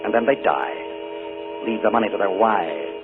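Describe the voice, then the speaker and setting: An actor playing a charming but sinister middle-aged uncle, a killer, in a 1940s radio drama. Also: male voice, fairly low pitch, expressive, moderate pace, natural voice. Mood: contemptuous. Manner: smooth, then cold and contemptuous. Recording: tinny 1940s radio broadcast with music.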